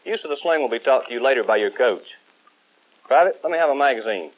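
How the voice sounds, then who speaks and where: A man's voice: mid-range voice, animated, fast talker, a little hiss or hum, lightly acted. An American man playing a firm, methodical Marine rifle instructor, lecturing with emphatic authority in a 1960s training film. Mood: firm, instructive. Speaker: military marksmanship instructor. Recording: tinny old 1960s film soundtrack.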